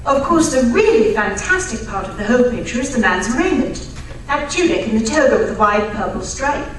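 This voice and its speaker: Woman acting a genteel lady, highish, echoey. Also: lively intonation, brisk, lightly acted. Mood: polite, earnest. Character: Lady Georgina, polite, helpful, well-bred female client. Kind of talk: old-time radio drama acting. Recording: echoey live stage, distant mic, faint noise.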